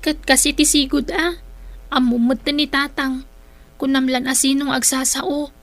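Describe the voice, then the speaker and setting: High, bright, exasperated young Ilocano daughter. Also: female voice, steady tone, moderate pace, faint music behind. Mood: fed up. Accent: Filipino, Ilocano. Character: exasperated, outspoken grown daughter of a troubled family. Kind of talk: radio drama acting.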